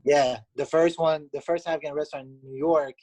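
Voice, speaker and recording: A man from Canada, a pizzeria owner, answering interview questions in a halting way with many fillers. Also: on the high side, steady tone, darkish tone, poor audio.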